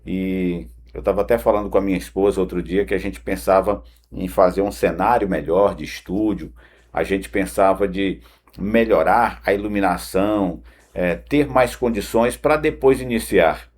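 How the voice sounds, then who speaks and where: Low husky man, morning devotional host. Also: moderate pace, a little mellow. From Pará, northern Brazil. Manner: earnest, direct address with frequent "né" tags. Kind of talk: conversational morning devotional talk. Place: home studio.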